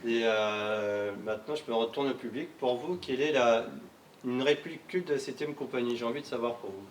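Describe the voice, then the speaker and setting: A man's voice: mid-range voice, brisk, distant mic, slight background noise. A man interviewing a guest on stage, asking questions with hesitant fillers.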